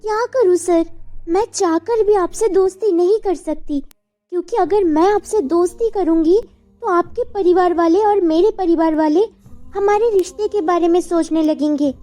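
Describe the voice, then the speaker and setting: A woman playing a dutiful young office woman in a cartoon melodrama, gentle but firm. Her voice is very high and soft-toned, with music underneath. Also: moderate pace. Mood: troubled. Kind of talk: Hindi animated melodrama dialogue. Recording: music bed behind the voice.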